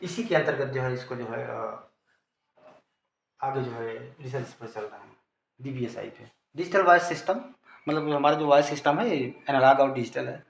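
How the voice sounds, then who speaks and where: A man's voice: medium pitch, normal speed, gravelly. A man with an Indian accent giving an informal technical lesson, explaining and repeating key terms. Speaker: teacher. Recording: echoey room, background noise.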